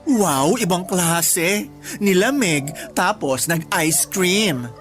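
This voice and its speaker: A woman's voice: on the low side, lively intonation, drawn-out words, faint music behind. A woman playing a nosy, sarcastic young friend in a Tagalog radio drama, teasing in slangy Taglish. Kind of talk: Tagalog radio drama, natural conversational acting.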